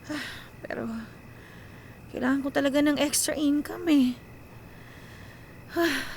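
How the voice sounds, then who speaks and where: A woman's voice: sits high, steady tone, unhurried, gravelly, slight background noise. A woman playing an anxious, self-sacrificing young breadwinner in a radio drama, with a Filipino accent. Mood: stressed, worried. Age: young adult. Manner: talks to herself, code-switches into English.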